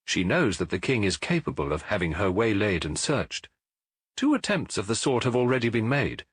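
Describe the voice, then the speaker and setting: A brilliant, commanding Victorian detective played by a male audiobook reader with an English accent. His pitch moves freely as he lays out orders with precise authority. The voice is a little husky and recorded very dry. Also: sing-song, normal speed, a bit bright, dry recording, exaggerated voice. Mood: confident, reasoning. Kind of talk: audiobook character acting.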